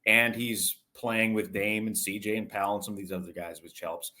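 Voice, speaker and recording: Low-voiced man talking sports. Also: fairly even intonation, moderate pace, pushed and bright. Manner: talkative, explanatory, long detailed answers. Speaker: sports broadcaster, interview guest. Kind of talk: conversational sports analysis.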